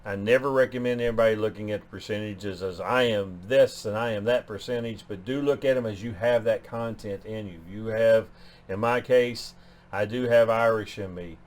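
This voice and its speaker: A man's voice: on the low side, normal speed, a little mellow. A man hosting a genealogy channel, talking through his DNA numbers in a loose way, often restarting phrases. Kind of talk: explaining DNA results on screen.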